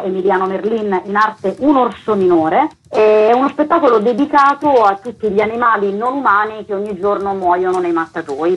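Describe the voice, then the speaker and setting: Husky, earnest woman. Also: medium pitch, lo-fi sound. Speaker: guest, theatre author and actress. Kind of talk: earnest explanatory interview talk.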